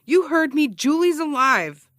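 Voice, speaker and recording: An actress playing a cocky, sarcastic amateur investigator and broadcaster who teases and rambles defensively in an audio comedy-drama. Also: female voice, high voice, expressive, unhurried, fairly bright tone, no room sound, clean studio sound. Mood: defensive, heated. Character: cocky, needling amateur investigator and radio broadcaster, adult woman. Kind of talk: naturalistic audio fiction comedy-drama acting.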